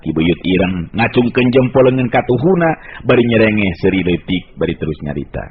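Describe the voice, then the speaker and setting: Male radio storyteller, Sundanese accent, lowish voice, dramatic folk tale narration, narrow hissy recording. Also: very animated, fairly quick.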